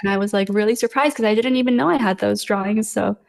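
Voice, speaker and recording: A woman's voice: mid-range voice, quick pace, gravelly, darkish tone. A young woman from Los Angeles, an artist guest on a podcast, speaking hesitantly with many fillers and small laughs. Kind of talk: casual interview chat.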